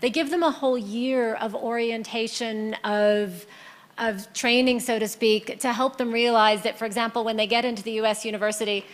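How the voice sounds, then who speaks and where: A woman in her forties answers on a conference panel in a slightly high voice. She is conversational and measured, explaining as she goes into a handheld microphone. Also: steady tone. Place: indoor conference stage.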